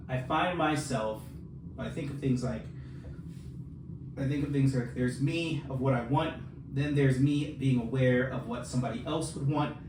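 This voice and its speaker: A man's voice: medium pitch, distant mic. A man talks casually about writing as a co-host, often poking fun at himself. His mid-range voice comes from a little way off the mic in a roomy space, with noticeable background noise. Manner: joking, self-deprecating. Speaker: podcast co-host and fiction writer.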